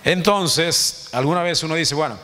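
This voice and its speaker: A man's voice: on the high side, moderate pace, pushed and bright. A Dominican man in his fifties giving an informal Sunday teaching to a congregation, chatty and joking, often checking in with his listeners. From Dominican Republic. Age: 50s. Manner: conversational, joking, asks the congregation "¿verdad?". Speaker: church teacher. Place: church hall. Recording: long hall reverb.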